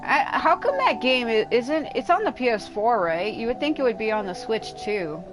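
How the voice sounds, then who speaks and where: A woman's voice: fairly high pitch, expressive, normal speed, over music, low-quality recording. A woman livestreaming a video game with casual, rambling commentary, blunt and complaining, swearing freely.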